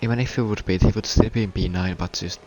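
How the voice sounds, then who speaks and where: A Finnish man commentating a sim race in English, a second language for him, with a halting, stuttering delivery. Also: lowish voice, fairly even intonation, darkish tone, a little hiss or hum. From Finland. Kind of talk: live sim racing commentary.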